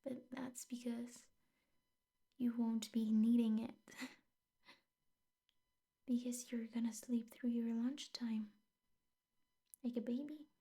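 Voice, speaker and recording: Woman doing a yandere teacher gf for asmr, highish soft voice, super breathy n whispery, sweet but controlling and menacing. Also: steady tone, heavily acted. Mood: sweetly controlling. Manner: slow, intimate, coaxing with veiled threats. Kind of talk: ASMR girlfriend roleplay.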